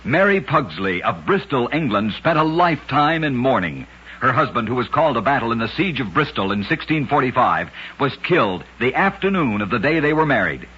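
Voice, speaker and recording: A man narrating scripted strange tales for an old-time radio show, with deliberate, dramatic storytelling delivery. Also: medium pitch, big pitch swings, sharp tone, slightly rough. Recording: dull old radio recording.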